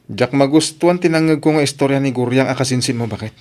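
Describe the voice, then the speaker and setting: An actor playing a disgruntled villager passing on gossip in a radio drama, grumbling with an Ilocano accent. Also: male voice, medium pitch, quick pace, darkish tone, natural voice.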